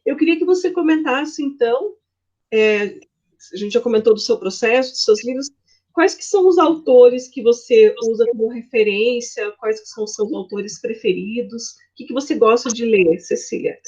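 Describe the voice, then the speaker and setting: A woman's voice: a little high, moderate pace, warm tone. A woman hosting an interview, asking long winding questions with frequent "né". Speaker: host, interviewer. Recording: dull, muffled audio.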